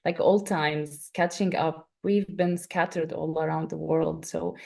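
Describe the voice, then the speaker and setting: A woman's voice: low voice, little pitch movement, measured pace, vocal fry, darkish tone. A woman from Syria in her thirties, a writer being interviewed, speaking reflectively with many fillers and restarts. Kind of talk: emotional interview answers.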